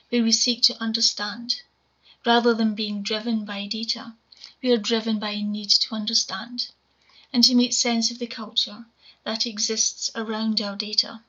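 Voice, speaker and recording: A woman in her forties with a Scottish accent and a quiet voice, giving a measured conference talk from home over a webcam. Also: a little high. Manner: measured, explanatory presenting. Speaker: conference speaker. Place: home room, indoors. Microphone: webcam video call. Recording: dull lo-fi webcam audio.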